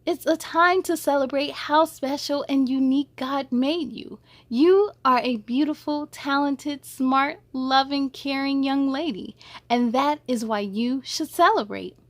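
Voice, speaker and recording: A woman voicing a loving, reassuring mother in a picture book, gentle and encouraging. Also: high voice, measured pace, no echo.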